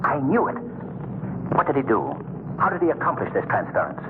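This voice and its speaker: An actor playing a mysterious invisible crime fighter in a 1930s radio drama, eerie, deliberate and commanding. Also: male voice, medium pitch, huge pitch swings. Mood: probing. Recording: noisy, phone-like 1930s radio recording.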